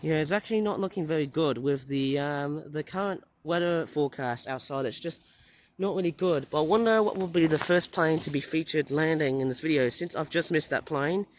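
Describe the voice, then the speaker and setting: A man, his voice a bit raised and croaky from the flu. He rambles on with many fillers and restarts. He is talking outdoors near an airport runway, into a handheld camera mic. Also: on the high side, fairly quick, slight background noise. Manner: rambling, hesitant, filler-heavy. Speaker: plane-spotting vlogger. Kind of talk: casual running commentary.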